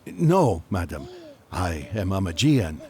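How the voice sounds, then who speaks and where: An actor playing an earnest, courteous ancient magus on a quest, speaking formally in an audio drama. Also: male voice, low voice, very animated, slow, warm tone, full character voice. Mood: gentle.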